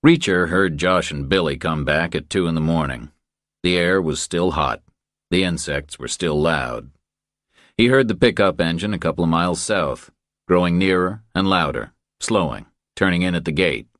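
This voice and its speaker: A man's voice: low voice, animated, normal speed, a bit bright, dry recording, studio quality. A man reading a crime thriller aloud as scripted audiobook narration.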